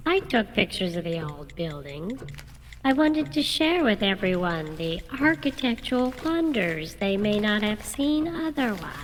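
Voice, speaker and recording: A woman playing a nagging, vain but soft-hearted wife in a radio comedy, insistent and fussy. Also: mid-range voice, very animated, a little slow. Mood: peeved. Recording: reverberant hall, faint background noise.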